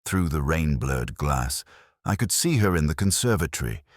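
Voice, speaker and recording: A man narrates a detective story in the first person with a measured, literary solemnity. His voice is extremely deep and rough-edged, yet moves expressively up and down. The recording is dry and close. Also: sing-song, dry recording.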